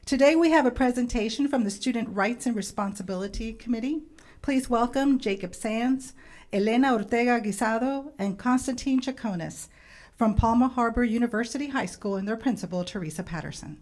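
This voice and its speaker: A woman's voice: fairly high pitch, a little mellow. A woman working as a public information officer, politely introducing guests and organizations at a school board meeting. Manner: polite, measured, ceremonial.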